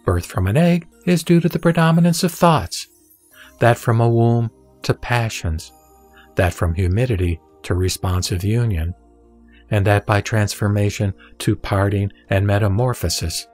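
A man reading scripture as narrator of an audio drama, in a solemn, measured and deliberate way. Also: fairly low pitch, a little mellow. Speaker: sutra reciter and narrator. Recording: background music under the voice. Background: music.

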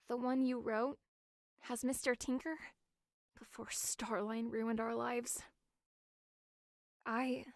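A woman's voice: fairly high pitch, no echo, studio quality. A woman voicing a timid, earnest young wooden robot girl in a cartoon style, with a hesitant, emotional delivery. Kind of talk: animated character demo reel acting.

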